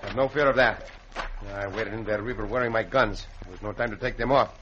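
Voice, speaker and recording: An actor playing a brave, commanding yet kindly caballero hero with a Mexican accent in a radio western. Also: male voice, lowish voice, fairly quick, lightly acted. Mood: calm, reassuring. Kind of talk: old-time radio western acting. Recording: muffled, very noisy vintage radio recording.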